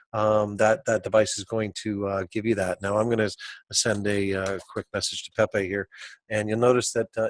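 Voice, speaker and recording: Low-voiced man giving a halting demo. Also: no echo. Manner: halting, repeats words as he goes. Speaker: product demo presenter. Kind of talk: informal software demo walkthrough.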